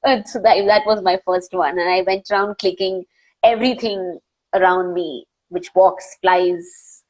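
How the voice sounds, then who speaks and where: A young woman with an Indian accent answers interview questions about her work over a video call. Her voice sits a little low. She talks earnestly, with frequent "uh" fillers and self-corrections. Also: fairly even intonation, a little slow, rough recording. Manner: earnest, many "uh" fillers and restarts. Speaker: wildlife filmmaker guest. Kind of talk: live online Q&A interview.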